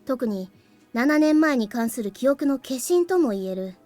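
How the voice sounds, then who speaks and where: A woman voicing a calm, aloof young boy with a cool, matter-of-fact, slightly cheeky manner in a Japanese visual novel. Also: high voice, fairly quick, soft background music. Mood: grave, composed. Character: calm, aloof young boy, crystallized past memory of the hero.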